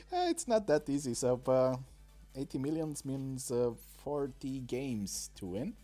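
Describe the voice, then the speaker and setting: Slow, mellow-voiced man. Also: medium pitch, sing-song, soft background music. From Romania. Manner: halting, many pauses and fillers. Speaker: game streamer. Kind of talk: casual live-stream commentary. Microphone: desk microphone.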